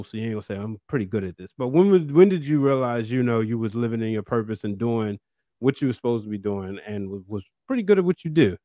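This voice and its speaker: A man's voice: medium pitch, a little mellow, dry recording. An American man hosting a podcast in a casual, informal interview style. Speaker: podcast host.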